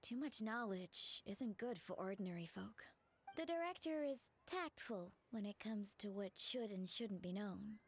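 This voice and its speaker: A woman voicing a formal, cautious funeral parlor staffer in an anime game, giving measured, cryptic warnings. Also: mid-range voice, lively intonation, breathy edge. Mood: cautious, ominous.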